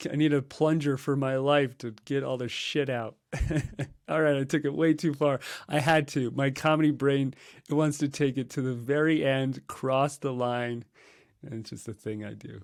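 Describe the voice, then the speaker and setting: A man's voice: mid-range voice, no room sound, studio quality. A man in his thirties, a meditation teacher whose first language is Russian, talking casually on a podcast with a playful, joking manner. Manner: playful, joking, bursts into laughter.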